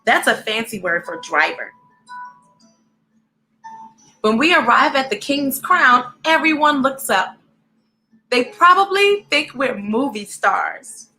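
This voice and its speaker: An animated, encouraging woman hosting a storybook read-aloud, speaking directly to children in an expressive way. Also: high voice, a little slow, soft background music, rough recording.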